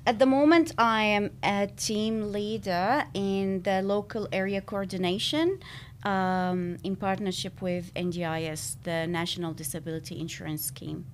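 A woman from Syria, in her thirties, answering interview questions thoughtfully, with many pauses and fillers. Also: medium pitch, fairly even intonation, a little hiss or hum. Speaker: podcast guest.

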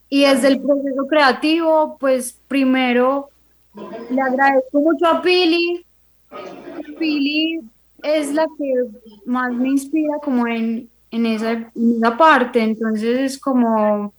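A woman guest talking casually, haltingly, often repeating words as she goes. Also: high voice, poor audio. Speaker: interview guest, fashion designer. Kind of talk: casual, unscripted talk.

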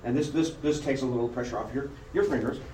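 An older American man giving an emphatic public speech with personal anecdotes and dramatic pauses. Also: mid-range voice, dark tone. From Buffalo, United States. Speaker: club speaker. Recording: noisy live room recording.

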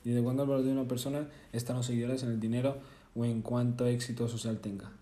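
Young man, reflective monologue, mellow dark tone, roomy echo. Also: mid-range voice, level tone, fast. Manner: casual and earnest, with self-corrections and tag questions. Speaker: vlogger.

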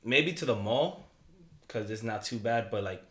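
Young man, lowish voice, slangy, joking, laughs a lot, echoey room, faint hiss. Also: lively intonation, moderate pace, fairly bright tone. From Dominican Republic family, raised in New York. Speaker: podcast co-host. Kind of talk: casual chat.

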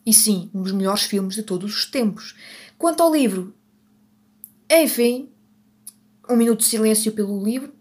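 A Portuguese woman hosting a podcast, chatting without a script, often correcting herself and laughing at her own slips. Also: mid-range voice. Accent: European Portuguese. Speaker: podcast host. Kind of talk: unscripted solo podcast chat.

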